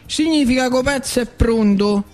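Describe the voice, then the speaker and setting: An actor playing a plainspoken, wistful older factory worker with a central Italian, Lazio accent, in a theatrical monologue with colloquial repetitions. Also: male voice, very high voice, normal speed. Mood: animated, emphatic. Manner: colloquial, repetitive, dramatic swings from emphatic to hushed. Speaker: stage actor.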